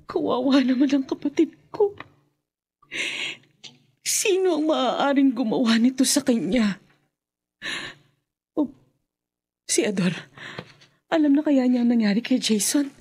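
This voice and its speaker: Anguished elder sister, Filipino accent, very high pitch, emotional, melodramatic radio drama. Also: female voice, animated, a little slow, long pauses, darkish tone, breathy edge, heavily acted. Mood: worried. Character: distraught, devoted elder sister.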